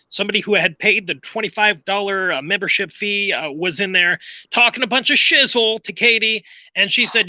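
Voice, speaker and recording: A man with a high, piercingly bright voice that sounds like a cartoon character, though it is his natural one. He chats casually as a panel guest over a dry, slightly dull lo-fi connection. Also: dry recording. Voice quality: unusual cartoon-like voice, natural not put on. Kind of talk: casual chat.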